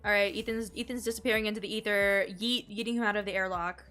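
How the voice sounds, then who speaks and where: A woman's voice: medium pitch, fairly even intonation, quick pace, bright, forceful tone, faint music behind. A young woman with a slightly nasal, congested voice, chatting casually and slangily with her viewers while playing a game. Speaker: game streamer. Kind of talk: casual gameplay chat to stream viewers.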